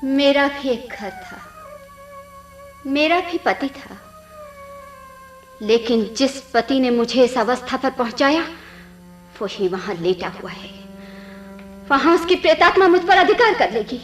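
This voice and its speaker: An actress playing a young ghost woman in a radio drama, pleading and bitter, with a breathy, dramatic delivery that drops into whispers. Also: sits high, expressive, a little slow, long pauses, soft background music. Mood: bitter, anguished. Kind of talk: Hindi radio play acting.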